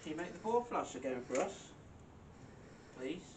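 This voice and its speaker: A man on a ghost hunt, jokey and chatty as he asks questions out loud to whatever might be in the room. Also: on the high side, big pitch swings, moderate pace, recorded from a distance. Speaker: paranormal investigator. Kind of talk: casual chat, calling out to spirits. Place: stone room in an old mine building at night. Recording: echoey room, off-mic, noisy hiss.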